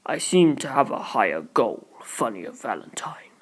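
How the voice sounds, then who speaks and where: A calm, fatalistic male zealot, as an audiobook narrator puts him on. He is solemn and grandiose, drawing words out and sinking into near whispers. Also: medium pitch, unhurried, slightly rough, pitched below the performer's own voice. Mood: serene, ominous.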